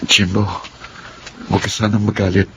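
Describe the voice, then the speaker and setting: A man with a Filipino accent playing a contrite wrongdoer who pleads for forgiveness in a radio drama, his voice gravelly. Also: lowish voice, flat delivery, normal speed, very noisy, lightly acted. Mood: humble, insistent. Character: remorseful man begging forgiveness from the woman he wronged.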